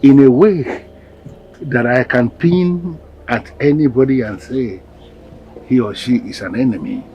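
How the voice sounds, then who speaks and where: A man with a Nigerian accent playing a proud, ruthless village king in a melodramatic film, speaking in declamatory, deliberate phrases. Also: mid-range voice, mellow, lo-fi sound, a little hiss or hum, natural voice. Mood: shocked, anguished. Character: proud, ruthless African village king, vengeful, later anguished. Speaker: film actor. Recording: background music under dialogue.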